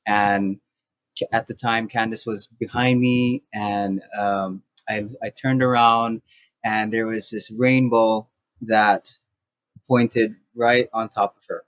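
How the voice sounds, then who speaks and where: A young man from Maui, a house church pastor, sharing his story in a soft-spoken, halting way with many restarts. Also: lowish voice, steady tone, dry recording. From Maui, Hawaii. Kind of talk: casual podcast conversation.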